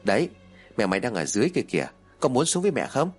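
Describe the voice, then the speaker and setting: A man voicing a surly, callous young uncle who is gruff and threatening. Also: mid-range voice, very animated, quick pace, faint music behind, lightly acted. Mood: taunting threat.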